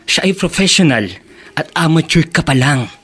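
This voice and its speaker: A man's voice: fairly high pitch, expressive, normal speed, fairly bright tone, slightly rough. An actor playing an arrogant, predatory young man in a radio drama, with a taunting, insinuating manner. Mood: mocking. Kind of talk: Tagalog radio drama acting.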